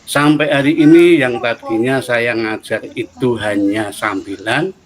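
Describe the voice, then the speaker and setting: A middle-aged man with a Central Javanese accent, a university program head who gives a relaxed, explanatory interview from home over a video call, pausing often on "eh". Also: mid-range voice, crowd noise. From Solo, Central Java. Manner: relaxed, explanatory, with frequent "eh" pauses.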